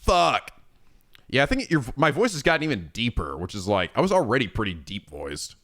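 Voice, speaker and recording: A man's voice: medium pitch, huge pitch swings, fairly quick, a bit bright. A man in his thirties chatting casually on a livestream, rambling and swearing freely with frequent laughs. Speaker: streamer.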